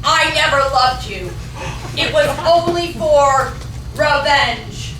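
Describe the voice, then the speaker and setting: High-voiced blunt girlfriend, played by a woman. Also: lively intonation, slow pace. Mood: cold, blunt. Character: blunt, vengeful girlfriend on a date. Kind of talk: staged script reading. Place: small theatre stage, distant camera. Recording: very echoey theatre, distant, noisy.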